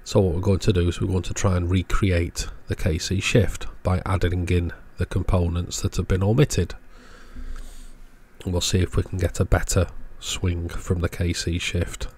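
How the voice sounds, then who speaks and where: A man's voice: low-pitched, little pitch movement, moderate pace, a little mellow, breathy edge. A man giving an informal technical walkthrough as host of an electronics repair video.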